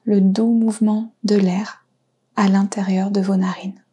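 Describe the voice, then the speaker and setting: Female meditation guide: mellow, very husky and breathy tone, soft soothing phrasing with room to pause. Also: medium pitch, flat delivery. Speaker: yoga nidra guide.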